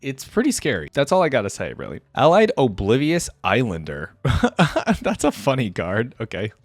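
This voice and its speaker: A man's voice: mid-range voice, huge pitch swings, normal speed, no room sound, soft background music. A man hosting a casual reaction video about game voice lines, chatting in a laid-back, conversational, amused way.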